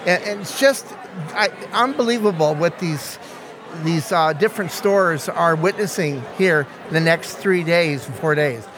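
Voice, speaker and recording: A man attendee speaking excitedly in a quick interview, stumbling and restarting. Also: fairly high pitch, background noise. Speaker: event attendee. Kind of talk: informal interview.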